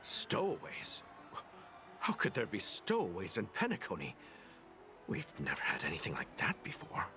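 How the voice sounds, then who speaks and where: A man's voice: low voice, wildly swooping pitch, normal speed, long pauses, music in the background. A man voicing a polite, dutiful security guard who speaks courteously, in a game with background noise. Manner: courteous, reassuring.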